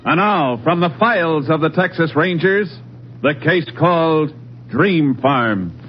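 A man's voice: fairly high pitch, animated, unhurried, music in the background. An American man announcing in a dramatic, declamatory 1950s radio style. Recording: muffled old radio recording.

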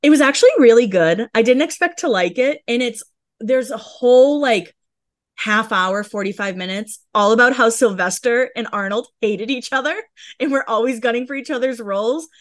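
Female podcast co-host, a touch higher pitch, casual chatty read of gossip items. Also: normal speed. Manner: chatty, reads blind items aloud. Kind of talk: casual celebrity gossip chat.

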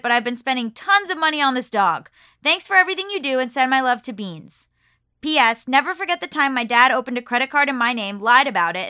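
Female podcast host, American, higher-pitched bright voice, informal and effusive, casual chat and mail reading. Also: clean tone, no room sound, studio quality.

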